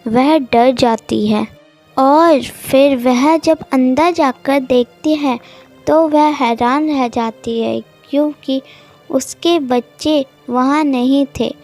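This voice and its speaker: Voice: high voice, takes it slowly, a little mellow, soft background music. A young-sounding storyteller narrating an animal story for children.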